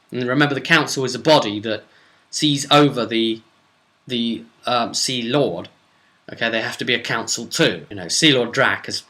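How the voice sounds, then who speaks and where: A man, a game master, casually recounting a role-playing session in a rambling, animated storytelling way. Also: medium pitch. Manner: rambling, animated storyteller acting out snippets of dialogue.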